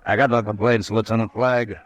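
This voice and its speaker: An actor playing a steady frontier marshal in a 1950s radio drama, speaking with calm, measured authority. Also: male voice, fairly low pitch, close to an everyday voice. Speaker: town marshal.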